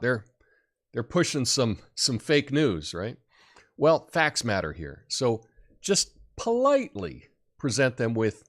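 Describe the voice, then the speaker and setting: A man with a deep voice who talks loud, hosting a casual monologue show and giving advice. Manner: loud, emphatic, swoops up high in acted examples. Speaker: show host.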